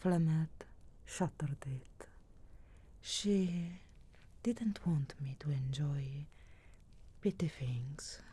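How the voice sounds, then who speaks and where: Sardonic wilds witch, acted by a woman, ASMR roleplay: very breathy whisper, low register, measured and archly formal. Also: dark tone, heavily acted. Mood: reflective, guarded. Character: sharp-tongued young witch of the wilds, sarcastic, guardedly caring.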